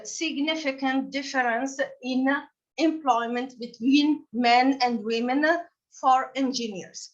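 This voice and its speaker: A woman with a Tunisian accent giving an academic conference presentation in a halting, hesitant way. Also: high-pitched, highs cut off. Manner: halting, frequent hesitations mid-phrase. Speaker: conference presenter and session co-chair.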